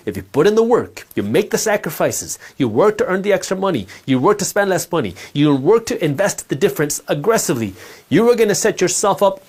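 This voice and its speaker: A man giving a direct-to-camera personal finance lesson, emphatic and repetitive, talking straight to the listener. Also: on the high side.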